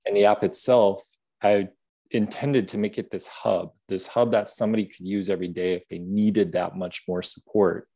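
A man with a soothing, gentle voice, talking thoughtfully as a guest in a podcast interview. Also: on the low side, steady tone, moderate pace. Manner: gentle, soft-spoken, thoughtful. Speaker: app creator, podcast guest. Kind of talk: reflective interview conversation.

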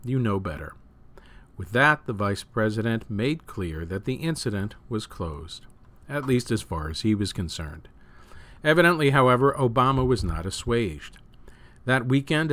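A man reading news and opinion articles aloud as a host, with measured, emphatic phrasing that falls away at sentence ends. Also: mid-range voice, pushed and bright, no echo. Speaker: news reader and show host.

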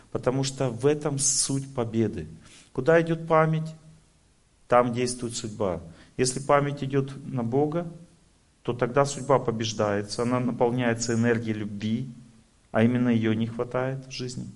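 A man speaking as a spiritual lecturer, explaining his teaching step by step to an audience and checking that listeners follow. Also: medium pitch. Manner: didactic, explaining step by step with rhetorical check-ins.

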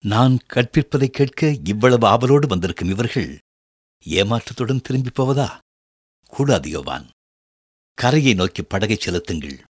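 An actor playing Jesus as a compassionate teacher in a radio drama, speaking in a measured, formal and gentle way. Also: male voice, medium pitch, expressive, dry recording, clean studio sound, natural voice. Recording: radio drama with soft music underneath.

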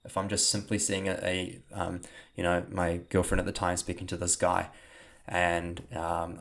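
A young man with a New Zealand accent, a podcast host and coach talking casually with many fillers and restarts. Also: deep voice. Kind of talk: casual spoken talk and podcast outro.

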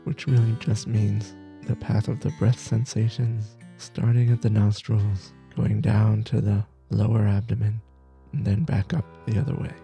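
A man leading a guided meditation as teacher, gentle and soothing, with soft breathy phrases that trail off between long pauses. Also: on the low side, flat delivery, soft background music. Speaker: meditation teacher, podcast host.

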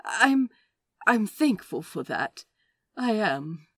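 A woman voicing a gentle, mannerly man who holds back his grief, in an audio drama with polite, old-fashioned phrasing. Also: medium pitch, big pitch swings, slow, breathy edge, no echo, studio quality, full character voice. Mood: choked, grieving.

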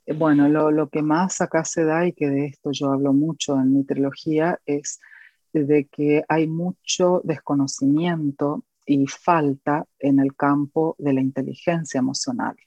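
A woman from Argentina with an Argentine accent, a guest educator and author speaking earnestly in an interview, pausing often with fillers. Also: low voice, fairly even intonation, mellow, no echo, lo-fi sound. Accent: Argentine Spanish. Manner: earnest, reflective, with many pauses and fillers.

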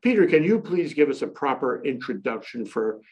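A man's voice: medium pitch, big pitch swings, moderate pace, darkish tone, husky. A man hosting a talk show, joking and rambling with many fillers as he poses a question.

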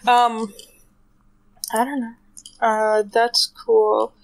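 A woman's voice: fairly high pitch, flat delivery, drawn-out words, vocal fry, poor audio. A woman co-hosting a podcast, chatting casually with friends in a playful, giggly, protesting way. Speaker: podcast co-host.

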